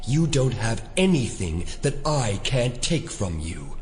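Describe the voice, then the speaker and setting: An actor playing a coldly commanding, menacing elder who speaks deliberately and imperiously in an audio drama. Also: male voice, mid-range voice, big pitch swings, a little mellow. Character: menacing, controlling male Prime Elder, coldly commanding maker. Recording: long hall reverb, music underneath.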